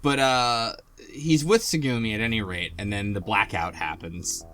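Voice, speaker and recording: A man's voice: fairly low pitch, normal speed, sharp tone, faint music behind. A man hosting a casual group discussion of a game, rambling as he thinks aloud. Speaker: podcast host.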